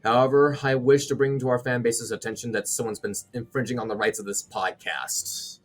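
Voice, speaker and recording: A man's voice: mid-range voice, fairly even intonation, a little fast, faint music behind. Young man hosting a casual podcast. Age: young adult. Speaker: podcast host.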